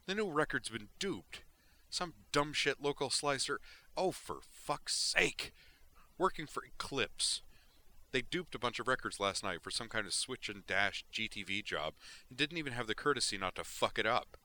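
A man's voice: medium pitch, big pitch swings, brisk, sharp tone, voice pushed up. A man voicing a sarcastic, indignant female shipboard AI in a sci-fi audiobook reading. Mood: outraged. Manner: sarcastic, exasperated.

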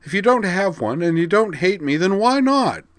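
A man's voice: fairly low pitch, animated, a little fast, pitched above the performer's own voice, natural voice. A man voicing a lovesick young Valkyrie girl who pleads and bursts into tears. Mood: angry tearful. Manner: pleading, rising to tearful outbursts.

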